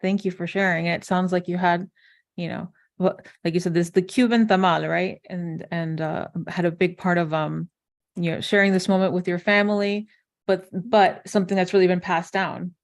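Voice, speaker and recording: A woman's voice: fairly low pitch, level tone, normal speed. A woman moderating a panel in a conversational way, responding to what was shared.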